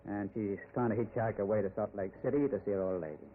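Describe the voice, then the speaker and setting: An American actor playing a helpful, familiar small-town gas station attendant in a 1940s-style radio drama, speaking colloquially and hesitantly. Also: male voice, on the low side, quick pace, close to an everyday voice. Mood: coaxing, familiar. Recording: tinny, noisy old radio broadcast recording.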